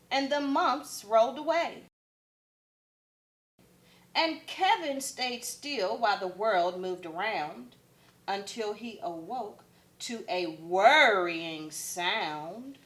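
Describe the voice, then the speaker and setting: A woman's voice: fairly high pitch, a bit bright. A woman, a first grade teacher, reading a picture book aloud expressively for children.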